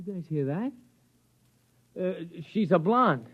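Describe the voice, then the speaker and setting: An actor playing a blustery, folksy working-class American fellow with slangy talk in a 1950s radio drama. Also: male voice, high-pitched, expressive, slow, slight background noise. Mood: puzzled, curious. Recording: muffled 1950s radio broadcast recording.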